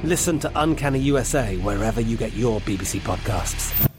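A man announcing a scripted radio promo in a slow, ominous way. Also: medium pitch, animated, loud music behind the voice.

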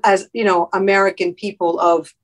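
A woman's voice: medium pitch, level tone, moderate pace. A woman from Miami, a guest actress in an interview, explaining her points earnestly. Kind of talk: conversational interview.

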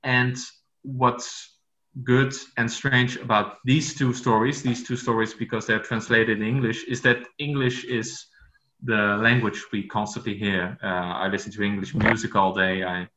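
A man from the Netherlands whose first language is Dutch, speaking English as a podcast guest and talking haltingly, with many fillers and restarts. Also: medium pitch, flat delivery, bright, forceful tone, echoey room, poor audio. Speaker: author guest. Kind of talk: podcast interview.

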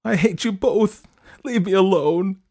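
A man's voice: fairly high pitch, very animated, measured pace, darkish tone, pitched above the performer's own voice, exaggerated voice. An emotional teenage girl in love , as a man reads her in an audiobook. The voice is lifted well up and swings widely, with emphatic, defiant pleading. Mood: defiant, anguished. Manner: dramatic, emphatic. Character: lovestruck, defiant seventeen-year-old girl, emotional and pleading. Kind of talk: audiobook character acting.

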